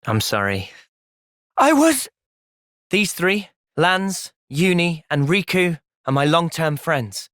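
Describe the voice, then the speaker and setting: A man voicing a thoughtful, loyal young hero in a video game, with an earnest, measured delivery. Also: fairly high pitch, sing-song, a bit bright, dry recording, clean studio sound, exaggerated voice. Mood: calm, resolute. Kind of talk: video game cutscene voice acting.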